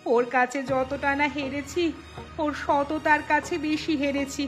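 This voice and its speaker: A woman voicing an ailing, remorseful village shopkeeper's wife in a melodramatic cartoon, pleading in a drawn-out, plaintive way. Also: high voice, fairly even intonation, soft background music. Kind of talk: melodramatic cartoon story dialogue.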